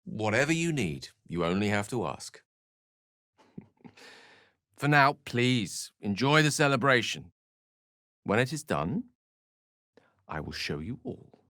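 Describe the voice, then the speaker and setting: A bookish British wizard from a fantasy video game. His voice sits fairly low but moves with very lively intonation, in a close, dry recording. He is articulate and theatrical, with a wry, verbose turn of phrase. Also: takes it slowly, pauses often, no echo, heavily acted. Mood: soft, earnest. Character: eloquent, wry, bookish male human wizard.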